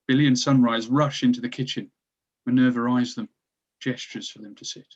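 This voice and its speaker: A man's voice: mid-range voice, steady tone, fairly quick, darkish tone, highs cut off. A man reading stage directions as scripted narration for a screenplay table read.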